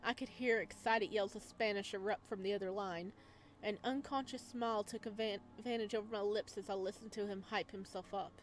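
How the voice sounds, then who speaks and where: A woman's voice: medium pitch, steady tone, fairly quick, soft background music. A woman hosting a gaming video, reading a visual novel aloud and adding casual, slangy asides. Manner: informal, slangy, reacts in asides.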